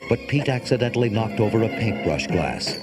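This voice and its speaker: A man narrating a children's storybook in a dramatic, expressive way. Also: lowish voice, a little fast. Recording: cassette transfer with loud music behind. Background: loud music.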